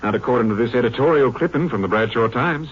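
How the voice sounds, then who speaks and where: An actor playing a grave, concerned Texas Rangers captain in an old-time radio drama, authoritative but informal. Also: male voice, mid-range voice, fast talker, close to an everyday voice. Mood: businesslike. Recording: noisy, dull vintage radio recording.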